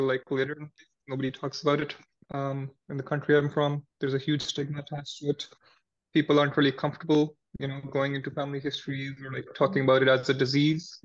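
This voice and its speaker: A young man from Pakistan, a researcher, speaking directly and earnestly as a panelist over a video call. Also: medium pitch. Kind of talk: personal account on a panel. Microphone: video call from a laptop. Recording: dull video-call audio.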